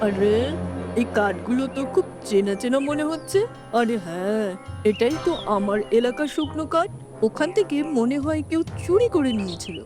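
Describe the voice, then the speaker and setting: A woman's voice: on the high side, lively intonation, moderate pace, voice pushed down, natural voice. A woman voicing a suspicious, vengeful bird of prey in a children's cartoon. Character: vengeful hunter kite bird discovering stolen wood. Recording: music under the voice.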